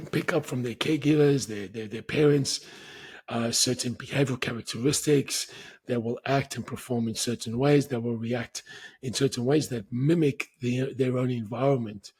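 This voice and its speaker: A man, talking alone on his podcast and explaining things in a measured way, often restarting his words. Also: medium pitch, husky. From grew up speaking Portuguese. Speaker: podcast host. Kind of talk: solo explanatory talk.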